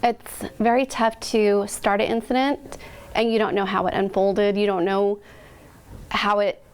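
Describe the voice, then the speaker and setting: A woman's voice: medium pitch, little pitch movement, measured pace, smooth. An American woman, an emergency dispatcher, speaking unscripted in a news interview.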